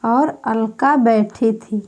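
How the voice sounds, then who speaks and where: A woman teaching an exam-prep grammar class, repeating each word again and again as if for dictation. Her voice sits high but has a soft, mellow tone, and she takes her time over every phrase. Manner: slow, repetitive dictation, repeating each term several times.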